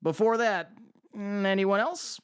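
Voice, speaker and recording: A man's voice: high voice, measured pace, clean tone, no room sound, clean studio sound. A man playing a formal, polite, slightly hesitant middle-aged defense lawyer in an audio drama. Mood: measured, concerned.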